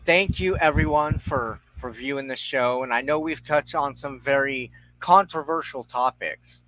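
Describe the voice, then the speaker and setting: A man hosting an informal online show, speaking in a halting, deliberate way as he searches for words. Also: medium pitch, fairly bright tone, dry recording, low-quality recording. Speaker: host of a weekly online show. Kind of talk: informal livestream sign-off and appeal.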